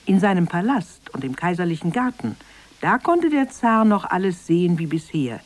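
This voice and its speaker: A woman narrating a children's radio play as an expressive, emphatic storyteller. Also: on the low side, moderate pace.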